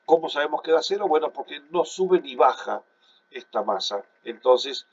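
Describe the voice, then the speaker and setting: A man's voice: fairly high pitch, moderate pace, muffled recording, slight background noise. A man, congested and coughing, explaining a physics problem in a recorded online class at his computer. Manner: steady explanatory, many fillers and restarts. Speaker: physics teacher. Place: at home at a computer.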